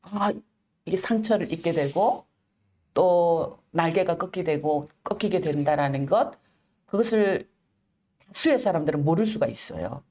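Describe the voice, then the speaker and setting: Woman, middle-aged, slightly low voice, earnest, measured, careful enunciation, interview guest. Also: expressive, dark tone. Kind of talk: reflective personal storytelling in an interview.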